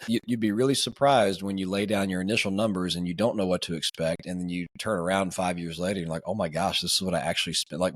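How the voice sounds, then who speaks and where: A man hosting a podcast, hoarse today with a raspy voice, upbeat and chummy, talking casually to his guest and listeners. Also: low-pitched, no room sound, clean studio sound. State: hoarse, voice raspier and deeper than usual. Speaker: podcast host.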